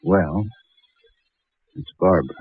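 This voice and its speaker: An actor playing an evasive doctor in an old radio horror drama, speaking hesitantly and haltingly. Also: male voice, sits low, slightly rough, no room sound, heavily acted. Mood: reluctant, worried. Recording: thin, phone-like old radio recording.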